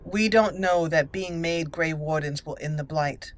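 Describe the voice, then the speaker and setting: A woman's voice: sits low, a little slow. A woman hosting a game playthrough, giving commentary and speaking as her roleplayed character. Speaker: video game roleplay host. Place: voice-over with game music behind.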